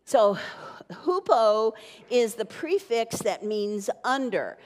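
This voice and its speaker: Older woman preaching, lively, in a church hall. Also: fairly high pitch, slow pace. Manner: animated, teaching, gesturing widely. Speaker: pastor. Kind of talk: sermon. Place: church stage with wood-panel wall. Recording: long reverb from church hall.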